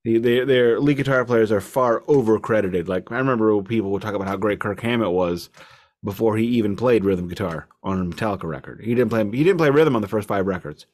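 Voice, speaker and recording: A man's voice: lowish voice, a little fast, a little mellow. A man co-hosting a podcast, chatting casually about music in an opinionated, rambling way with stammered repeats. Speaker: podcast co-host.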